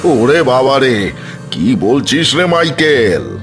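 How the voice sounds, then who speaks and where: A man's voice: mid-range voice, very animated, takes it slowly, over music. A man voicing a cowardly, bewildered uncle in a horror cartoon, in a nervous, comic, exclaiming way. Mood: alarmed, baffled. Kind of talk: cartoon horror story acting.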